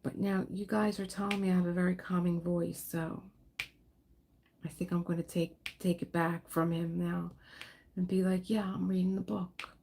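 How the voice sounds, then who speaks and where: Chatty woman with a gravelly low voice. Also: level tone, moderate pace, dark tone, a little hiss or hum. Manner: rambling, chatty, laughs often, reads and answers viewer comments. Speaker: livestream host. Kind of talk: casual livestream chat while diamond painting.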